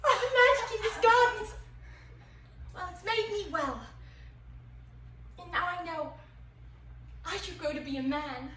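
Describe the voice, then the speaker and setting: A woman playing a fretful, sickly young boy in a stage-style drama, hesitant, stammering and highly emotional. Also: a little slow, pauses often, fairly bright tone. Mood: overjoyed, awed. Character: fretful, sickly, overwrought young boy convinced he's doomed. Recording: echoey room, distant mic, faint noise.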